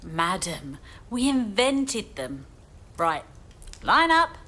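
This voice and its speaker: A woman's voice: medium pitch, big pitch swings, extremely slow, sharp tone, exaggerated voice. A woman playing a breezy, cheeky angel in an audio drama. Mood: brisk, commanding.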